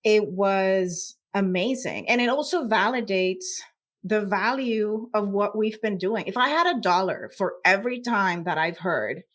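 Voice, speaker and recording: Woman, Puerto Rican accent, mid-pitched voice, conversational, emphatic, reflective, podcast guest. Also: lively intonation, measured pace, a bit bright. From Puerto Rico. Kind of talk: conversational interview answers.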